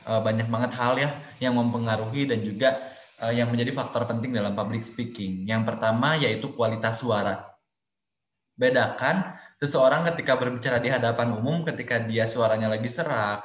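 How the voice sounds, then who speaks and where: Man giving a casual numbered-point webinar talk. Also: mid-range voice. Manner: explains step by step in numbered points; casual, addresses listeners as friends. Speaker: webinar speaker. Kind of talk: webinar lecture. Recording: roomy, dull lo-fi webinar audio.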